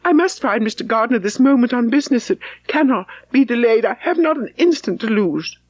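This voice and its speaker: A woman voicing a spirited, impetuous young gentlewoman with an urgent, breathless, refined delivery, as in a classic audiobook. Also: on the high side, expressive, a little fast, no room sound, lo-fi sound, voice pushed up.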